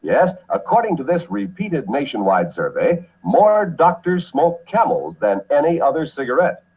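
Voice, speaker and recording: A male announcer from a vintage ad, selling with a smooth, upbeat pitch. His voice leaps up and down with great life and has a husky edge. The sound is dull and lo-fi, like a worn archival recording. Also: sing-song, normal speed. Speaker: announcer in an old cigarette ad. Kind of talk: 1950s radio ad announcing.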